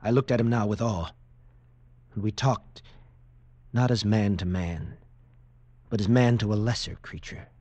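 A man's voice: fairly low pitch, steady tone, a little slow, long pauses, a little mellow, dry recording, close to an everyday voice. An actor playing a frightened, desperate military lieutenant, telling his story urgently in 1940s radio drama style. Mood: awed. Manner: urgent, intense, pleading. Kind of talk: 1940s radio acting, dramatic first-person narration. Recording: old radio broadcast, faint music behind.

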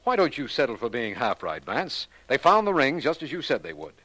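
An actor playing a genial but skeptical district attorney in 1940s radio drama style. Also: male voice, mid-range voice, wildly swooping pitch, fairly quick, pushed and bright, husky, no room sound, heavily acted. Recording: noisy vintage 1940s radio recording.

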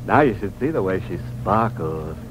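A man's voice: sits low, lively intonation, background noise. An actor playing a gleefully morbid horror host on a 1940s radio show, theatrical and tongue-in-cheek, stretching out his lines. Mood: macabre glee. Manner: theatrical, drawn-out punch lines. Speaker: host of a mystery anthology. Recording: muffled old radio broadcast with music.

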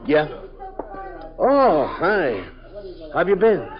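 A nervous stammering crook. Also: male voice, high-pitched, very animated, slow, crowd noise, exaggerated voice. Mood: evasive. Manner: hesitant, fawning, stammering. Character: nervous small-time crook man, evasive. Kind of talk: 1950s radio drama acting. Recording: dull old radio broadcast.

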